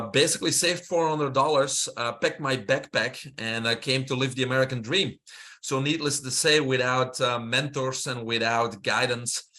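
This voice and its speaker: A middle-aged man with a Belgian accent speaks as an interview guest, at a middle pitch. He explains things in a steady, unhurried way and drops in frequent "uh" fillers. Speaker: guest, holistic health mentor. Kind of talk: interview answer, casual.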